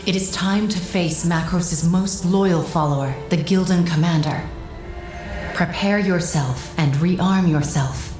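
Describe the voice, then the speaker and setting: A woman playing a commanding mystic guide in a fantasy game, measured, grave and solemn. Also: fairly low pitch, a little mellow, natural voice. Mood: urgent, solemn. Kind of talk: cinematic fantasy game acting. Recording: echoing hall with music behind.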